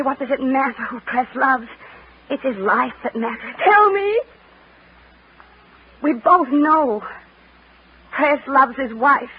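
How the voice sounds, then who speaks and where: A woman's voice: fairly high pitch, close to an everyday voice. An actress playing a strong-willed, pleading Southern woman in a theatrical 1940s radio drama. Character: strong-willed, impassioned Southern belle and her devoted rival wife. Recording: noisy, muffled vintage radio broadcast.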